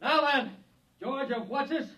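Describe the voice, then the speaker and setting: An actor playing a tough, no-nonsense police chief barking orders in 1950s radio style. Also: male voice, extremely high pitch, a little slow, sharp tone, exaggerated voice. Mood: stern. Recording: distant old radio recording.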